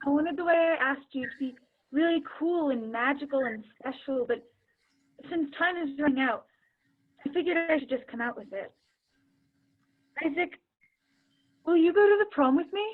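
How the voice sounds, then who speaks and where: A woman's voice: sits high, expressive, moderate pace, long pauses. A young woman acting in a play as an awkward, evasive teenage girl with a hesitant, defensive way of talking. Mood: nervous, awkward. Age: young adult. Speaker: student actor in a school play. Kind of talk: one-act play acting. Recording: tinny phone-like line.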